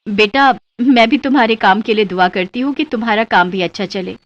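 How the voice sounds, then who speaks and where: A woman's voice: medium pitch, brisk, lo-fi sound, natural voice. A woman playing a humble, earnest working mother in a cartoon story. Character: humble, hardworking mother running a food cart. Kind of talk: Hindi moral-story cartoon voice acting.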